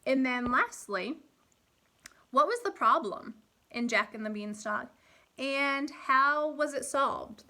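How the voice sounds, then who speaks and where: A woman's voice: fairly high pitch, bright, forceful tone. A woman speaking as an elementary teacher, reading and explaining expressively to young children with guiding questions. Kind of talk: read-aloud and lesson for young children.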